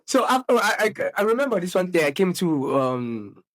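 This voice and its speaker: A young man from South Africa with a South African accent, co-hosting a casual podcast chat, halting with many restarts and slang. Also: high voice, big pitch swings, a bit bright. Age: young adult. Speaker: podcast co-host.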